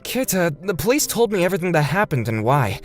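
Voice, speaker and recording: Casting: male actor as earnest young cartoon lead narrating his tale, slightly high voice, soft music bed. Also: sing-song, a little fast. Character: earnest young man, wronged but forgiving storyteller. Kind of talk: scripted manga dub narration.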